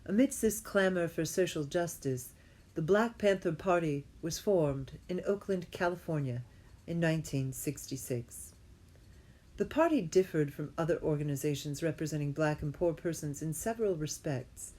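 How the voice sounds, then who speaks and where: A woman with a slightly low voice reads academic prose aloud. She sounds like an amateur reader working through formal writing. Her delivery is halting, and she tends to repeat phrases to put them right. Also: mellow. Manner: halting, often repeats phrases to correct herself.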